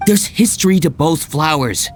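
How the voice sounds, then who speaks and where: A man's voice: fairly high pitch, expressive, normal speed, soft background music, close to an everyday voice. A man voicing an opinionated, self-assured fruit stall vendor in an anime game, pompous, emphatic and argumentative. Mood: indignant. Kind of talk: anime game dialogue acting.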